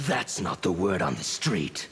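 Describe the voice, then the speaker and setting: An actor playing a mocking, fanatical anarchist villain in a fighting game, taunting and defiant. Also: male voice, sits low, very noisy, heavily acted. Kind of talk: scripted fighting game dialogue.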